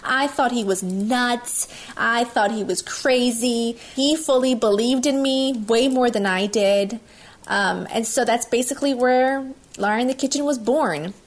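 Loud, outspoken woman from Italy. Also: fairly high pitch, a little slow. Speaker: guest, cooking video host. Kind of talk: candid interview storytelling.

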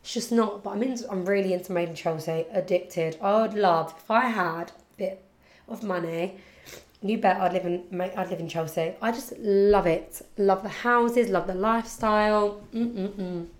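A young woman with a husky, congested voice from hay fever, chatting casually and rambling to her podcast listeners. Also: medium pitch, normal speed. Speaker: podcast host. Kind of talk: casual chat.

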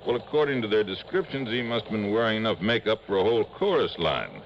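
Casting: gruff radio-drama police lieutenant, very deep, animated delivery, muffled vintage recording. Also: male voice, quick pace. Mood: matter-of-fact, exasperated. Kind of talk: 1950s-60s radio drama acting. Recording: dull, hissy old radio recording.

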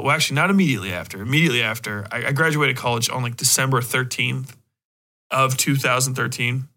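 A man hosting a podcast, chatting casually and rambling in a halting, conversational way. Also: mid-range voice, sharp tone. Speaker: podcast host. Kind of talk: casual rambling solo chat.

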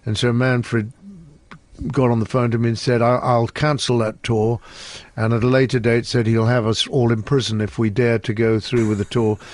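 A man's voice: mid-range voice, flat delivery, moderate pace, darkish tone, no echo. An older man, a musician guest, telling wry anecdotes in easygoing conversation. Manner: chatty, wry storyteller.

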